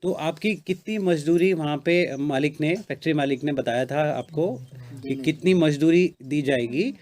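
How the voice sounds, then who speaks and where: A man's voice: a little high, moderate pace, darkish tone. A man conducting an interview who asks patient questions and repeats the answers back to confirm them.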